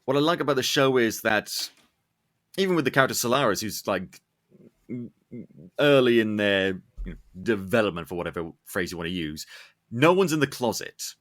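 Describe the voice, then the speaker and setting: A man hosting a casual podcast interview, talking in an earnest, rambling, animated way. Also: lowish voice, normal speed. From Scotland, UK. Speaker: podcast host. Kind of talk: casual interview chat, opinionated.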